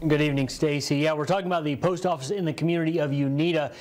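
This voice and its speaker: An American man reports live from a news studio. His voice runs slightly higher than the average man's. He speaks as a broadcast reporter. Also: steady tone, very fast, a little mellow.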